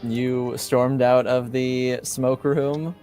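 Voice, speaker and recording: A man running a tabletop roleplaying game as game master, narrating haltingly with frequent pauses mid-sentence. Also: medium pitch, flat delivery, mellow, clear, resonant tone. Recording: music playing behind. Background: background music.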